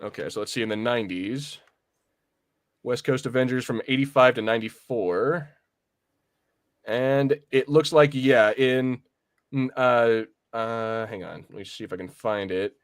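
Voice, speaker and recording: A man co-hosting a podcast, chatting casually about pop culture in a rambling, halting way and often repeating phrases. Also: mid-range voice, clean tone. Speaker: podcast co-host. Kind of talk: casual film and comics discussion.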